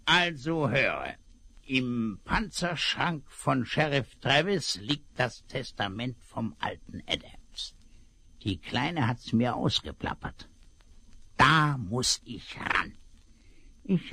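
Scheming villain, gravelly, husky, old radio play. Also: male voice, medium pitch, sing-song, takes it slowly, bright, forceful tone, no echo, full character voice. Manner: sly, wheedling, plotting. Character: scheming male villain rancher, crafty. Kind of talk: 1970s radio play acting.

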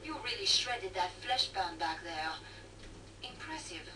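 A woman playing a brisk, wry, teasing trader in a video game. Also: on the low side, very animated, bright, forceful tone, distant mic, full character voice. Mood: approving. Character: brisk, wry French-speaking woman trader guiding fighters. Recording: noisy, echoey game audio from TV speaker.

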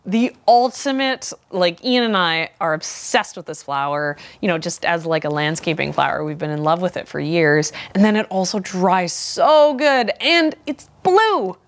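A woman's voice: lowish voice, expressive, moderate pace, hardly any pauses. Enthusiastic Canadian woman chatting casually. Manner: enthusiastic, rambling. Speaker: flower farmer and vlogger. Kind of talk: casual unboxing chat to camera. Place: indoors in a large cluttered garage workshop.

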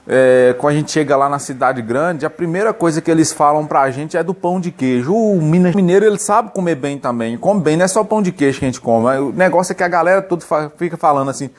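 A young man with a Minas Gerais mineiro accent, talking into a phone at arm's length while sitting on a bench indoors. He rambles and jokes in a casual comic monologue, full of restarts, in a voice a bit higher than most men's. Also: fast talker, few pauses. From Minas Gerais, Brazil. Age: young adult. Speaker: vlogger. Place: indoors, seated on a wooden bench by a painted wall.

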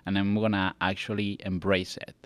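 A young man with a Spanish accent speaks in a low voice, close and dry on a studio mic. He talks casually and earnestly, stressing his points like a guest in a relaxed interview. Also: normal speed, clean tone, no echo. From Catalonia, Spain. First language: Spanish and Catalan. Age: young adult. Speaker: actor, podcast guest. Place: small podcast studio. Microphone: close boom-arm studio mic.

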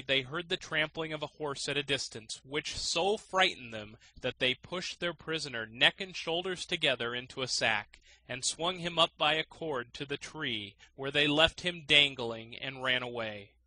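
A man's voice: mid-range voice, sharp tone, no room sound, rough recording. A man narrating a fairy tale as an audiobook reader, in a scripted storytelling style.

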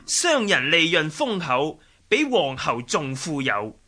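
A servile, insinuating eunuch in a historical radio drama, with a Hong Kong Cantonese accent. The voice is high, very sharp and sweeps up and down as he schemes. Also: big pitch swings, takes it slowly, heavily acted. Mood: servile, scheming.

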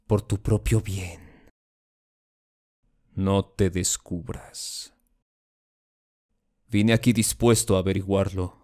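A man's voice: low voice, steady tone, slow, long pauses, mellow. A man with a Latin American Spanish accent playing a vengeful, anguished duke in a classical verse monologue, solemn and declamatory. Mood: controlled menace. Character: vengeful, anguished Jacobean duke, a wronged brother.

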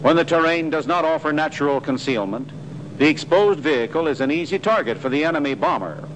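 Male narrator, slightly higher voice, measured instructional read, 1940s film soundtrack. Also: expressive, background noise. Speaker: narrator of army training film. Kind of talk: scripted documentary narration.

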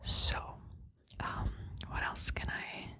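Whispering Czech woman, close to the mic. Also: takes it slowly. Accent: mixed American and British, picked up from media. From Czech Republic. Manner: soft whispering close to the mic, chatty and rambling. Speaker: ASMR creator. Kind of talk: whispered ASMR chat. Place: small room in front of a bookshelf. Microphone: fluffy mini mic held at the mouth.

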